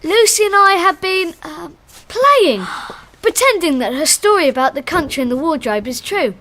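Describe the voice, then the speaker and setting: An actress playing a sneering, spiteful young schoolboy in a radio drama. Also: female voice, extremely high pitch, expressive, normal speed, sharp tone, a little hiss or hum, exaggerated voice. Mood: evasive.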